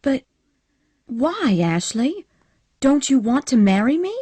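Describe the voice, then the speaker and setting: A woman's voice: high voice, big pitch swings, slow, mellow, slightly rough, no room sound, voice pushed up, full character voice. A woman reading the part of a passionate, impulsive young woman in a storybook, speaking in a breathless, pleading way with rising emotion. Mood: eager.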